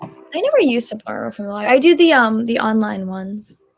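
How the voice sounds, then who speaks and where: A woman with a fairly high voice that has a gravelly, creaky edge. She chats in an easygoing, agreeable way as co-host of a book stream. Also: animated, a little fast. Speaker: co-host of a readathon livestream. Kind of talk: casual chat.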